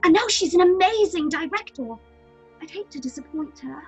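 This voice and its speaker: An eager, nervous young actress, new and earnest. Her high voice swings expressively through an audio drama, slightly muffled in sound, with light music behind. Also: expressive, normal speed. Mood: starstruck. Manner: apologetic, earnest. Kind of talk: dramatic audio fiction acting.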